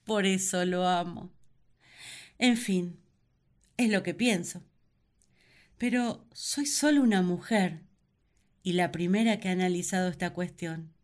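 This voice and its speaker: An actress playing a devoted, thoughtful wife in a radio play, speaking in an earnest, reflective, measured way. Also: female voice, on the low side, natural voice. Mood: modest, reflective. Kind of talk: radio play monologue, dramatized diary.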